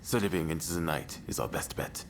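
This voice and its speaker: A man's voice: a bass voice, normal speed, gravelly, slight background noise. A man playing a stern, ruthless anti-foreigner samurai rebel in a video game cutscene. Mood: grim, resolute.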